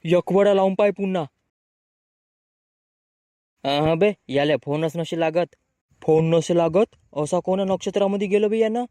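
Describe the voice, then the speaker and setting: A man's voice: high-pitched, a little slow, long pauses, warm tone, dry recording, clean studio sound. A man voicing a brash, grumbling young village man with a rural Marathi accent, bickering in slang in a comic cartoon. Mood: grumbling banter.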